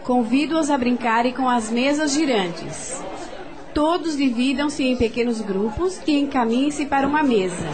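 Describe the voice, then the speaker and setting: Casting: actress as genteel Brazilian-accented society aunt, theatrical and gushing, fairly high voice, period radio play. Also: female voice. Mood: playful, commanding. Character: elegant, sociable society hostess aunt in her thirties. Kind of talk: radio drama acting in a period story. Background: music.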